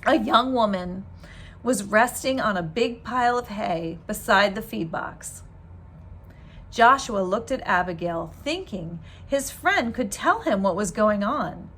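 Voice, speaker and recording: A woman reading a children's storybook aloud in an expressive, deliberate storytelling manner. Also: mid-range voice, a little hiss or hum. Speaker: teacher reading a book aloud.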